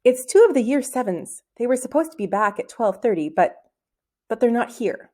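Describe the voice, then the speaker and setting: A woman's voice: on the high side, expressive, moderate pace, mellow, pitched above the performer's own voice. A woman reading a storybook as a worried, flustered, hesitant male schoolteacher.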